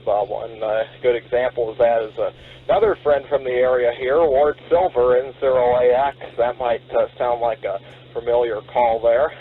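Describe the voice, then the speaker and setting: A man chats over amateur radio, casual and rambling, pausing often as he goes. His voice comes through a thin, phone-like radio link with a faint hum behind it. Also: mid-range voice. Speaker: amateur radio operator checking in.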